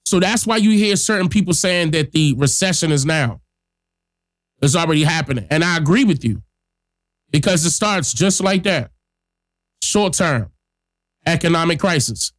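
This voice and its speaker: A man with a fairly high voice and a stuffy, congested nose talks bluntly and emphatically to his audience as a podcast host. His speech is close and dry, with no room sound around it. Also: normal speed, long pauses, no echo. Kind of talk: casual political commentary.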